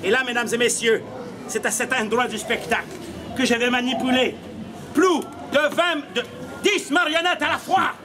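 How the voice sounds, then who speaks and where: A man's voice: very high voice, normal speed. A middle-aged man tells a comic story as a street puppeteer, projecting theatrically with mock drama and playful asides. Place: outdoors in a street against a stone wall. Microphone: camera at a few metres. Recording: outdoor camera recording with audience noise. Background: loud crowd and audience laughter.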